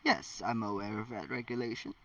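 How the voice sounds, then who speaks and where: A man's voice: lowish voice, steady tone, a little fast, creaky, a bit bright, dry recording, voice pushed down. Man reading as a genial, bemused old headmaster. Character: elderly male school headmaster, genial, slightly bemused. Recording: distant mic, dull lo-fi sound.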